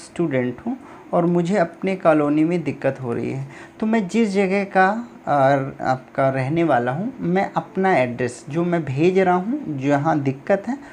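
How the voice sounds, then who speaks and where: A man's voice: on the high side, darkish tone, slight background noise. A man from India teaching an exam lesson, explaining step by step and repeating points with frequent check-ins. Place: recorded at a computer.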